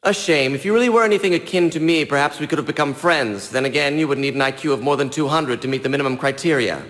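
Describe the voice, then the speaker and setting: An actor playing a haughty, eccentric young genius villain in a video game, with a light youthful voice and precise, wordy, theatrical diction. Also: male voice, on the high side, quick pace, a bit bright, echoey room, lightly acted. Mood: smug, dismissive. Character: small, prim, eccentric genius villain, smug and condescending.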